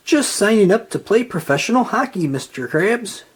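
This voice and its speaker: A storyteller voicing a cheerful, eager young sponge fry cook in a children's storybook, exclaiming excitably. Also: mid-range voice, normal speed, voice pushed up. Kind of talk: children's storybook read-aloud.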